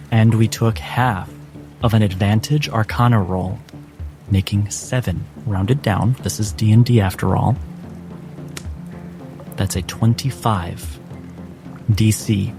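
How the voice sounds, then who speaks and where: A man running a tabletop game as game master, switching between rules talk and deliberate, dramatic narration. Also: on the low side. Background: background music.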